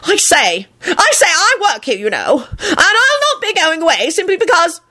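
A woman's voice: medium pitch, huge pitch swings, moderate pace, breathy edge. A woman voicing a haughty, officious young man with stiff, pompous, disapproving phrasing. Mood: offended.

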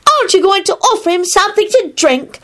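A woman voicing an excitable, self-important little boy in a storybook, bossy and eager. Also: very high voice, fairly quick, voice pushed up, heavily acted.

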